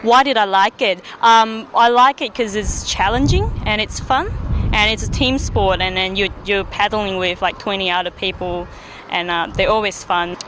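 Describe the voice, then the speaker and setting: A woman's voice: mid-range voice, moderate pace, a bit bright, rough recording. A woman from Malaysia answering interview questions casually, with lots of hesitation and fillers. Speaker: interviewee, dragon boat paddler. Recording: outdoor interview with traffic noise.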